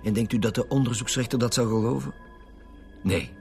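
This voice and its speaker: A man's voice: mid-range voice, a little fast, creaky, a little mellow, faint music behind, lightly acted. A Flemish man playing a blunt, impatient lead homicide detective in a radio drama, speaking assertively. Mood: accusing, pressing. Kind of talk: Belgian radio police drama acting.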